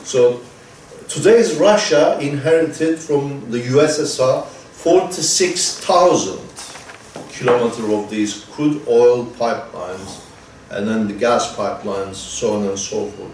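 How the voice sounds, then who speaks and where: A man's voice: mid-range voice, slow, darkish tone. A man in his fifties, a native Turkish speaker, giving a seminar lecture with many restarts and repeated words and a dry touch of humor. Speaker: guest lecturer. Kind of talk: academic seminar talk. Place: seminar room. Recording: echoey seminar room, distant mic, faint noise.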